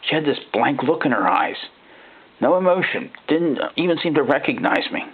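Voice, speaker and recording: A man narrating a first-person horror story in a folksy, halting, conversational way, like an ordinary guy confiding. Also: on the high side, lively intonation, slightly rough. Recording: thin phone-like band-limited sound.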